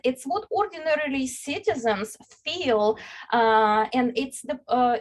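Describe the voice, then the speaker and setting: A woman from Ukraine giving an academic talk over a video call, earnest, with many filler words. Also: on the high side. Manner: earnest, with frequent uh and you know fillers. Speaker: lecturer.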